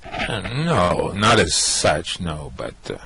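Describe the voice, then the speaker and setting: A man plays an exasperated space fugitive in a radio play. His voice is fairly low and a little husky, with an impatient, sarcastic edge. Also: very animated, slow, pushed and bright, full character voice. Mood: taken aback. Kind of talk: amateur radio play acting.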